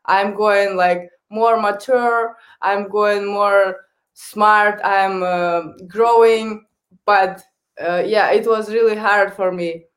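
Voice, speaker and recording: A woman's voice: mid-range voice, fairly even intonation, takes it slowly. A young adult woman from Kazakhstan, a native Kazakh speaker with a Kazakh accent, answering interview questions hesitantly in English as a hockey coach. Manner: hesitant, searching for words in non-native English.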